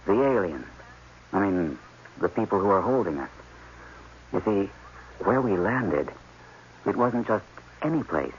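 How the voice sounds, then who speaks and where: An actor playing an embittered, fatalistic scientist held captive, speaking emphatically in a 1980s radio drama. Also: male voice, on the low side, sing-song, a little slow, long pauses. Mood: grim, hushed. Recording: dull, noisy old radio broadcast.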